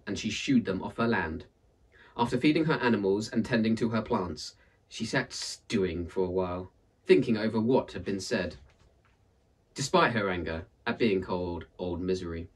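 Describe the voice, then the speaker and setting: Husky low-voiced man reading aloud. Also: far from the mic. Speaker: storyteller and book presenter. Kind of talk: expressive read-aloud storytelling and book introduction. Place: indoors in front of a purple backdrop.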